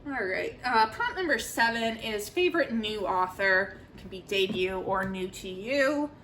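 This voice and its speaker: A woman's voice: medium pitch, lively intonation, a little slow, a bit bright, slight background noise. A young adult woman chatting casually as a book vlogger, with an emphatic, opinionated and informal way of talking. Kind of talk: casual chat to camera, book review.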